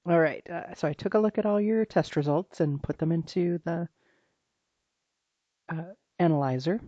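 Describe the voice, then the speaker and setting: A health practitioner, slightly hoarse from allergies, talking through a client's blood test results in a halting, informal way with many restarts. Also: medium pitch, dark tone, dry recording, muffled recording. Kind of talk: private recorded walkthrough of lab results.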